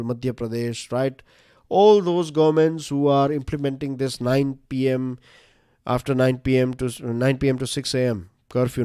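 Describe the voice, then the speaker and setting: A man's voice: medium pitch, a little mellow, no echo. Chatty Indian man lecturing, dry sound. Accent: Gujarati-influenced Indian English. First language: Gujarati. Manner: casual and chatty, many fillers and rhetorical questions. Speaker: current affairs teacher. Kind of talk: conversational exam-prep lecture.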